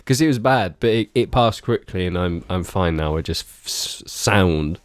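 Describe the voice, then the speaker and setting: A man's voice: deep voice, lively intonation, moderate pace, no room sound, clean studio sound. A young man co-hosting a podcast, sounding bunged up from a cold, joking and teasing his friends. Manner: jokey, teasing, calls others "bro". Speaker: podcast co-host. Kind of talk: casual podcast chat.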